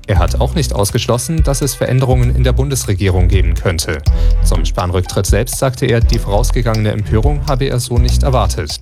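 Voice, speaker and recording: Low-voiced male newsreader over music. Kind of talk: scripted news bulletin. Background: music bed.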